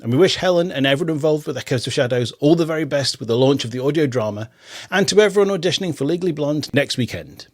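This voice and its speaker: A man's voice: fairly high pitch, lively intonation, quick pace. A middle-aged man hosting a theatre podcast, chatting casually and restarting on repeated words. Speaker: podcast host. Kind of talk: casual radio interview chat.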